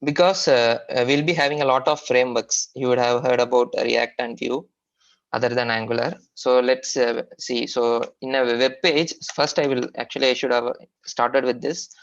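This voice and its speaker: A man with an Indian accent giving an informal technical lecture as a software trainer, explaining haltingly with repetition and frequent hesitations. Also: mid-range voice, steady tone. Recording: dull, lo-fi online training audio.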